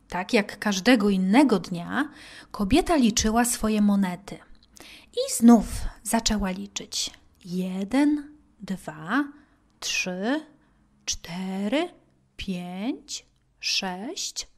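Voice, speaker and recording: A woman narrating a children's Bible story expressively, with simple, deliberate phrasing and dramatic pauses. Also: mid-range voice, darkish tone.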